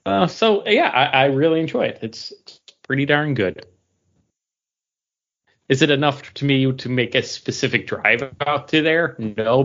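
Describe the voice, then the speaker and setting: Medium-pitched man, American accent, relaxed-format podcast chat, host, somewhat muffled lo-fi audio. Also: gravelly.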